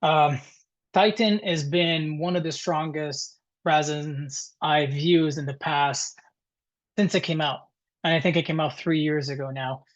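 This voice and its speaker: Italian man with a higher-pitched voice. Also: fairly even intonation, unhurried. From Italy. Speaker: guest presenter, dental technician. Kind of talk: informal webinar presentation. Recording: dull, muffled webinar audio.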